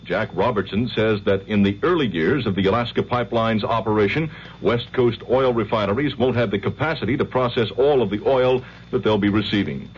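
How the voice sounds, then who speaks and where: A man's voice: on the low side, a little fast, hardly any pauses. An American man reading a scripted radio newscast as the anchor. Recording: dull 1970s radio broadcast, faint hum.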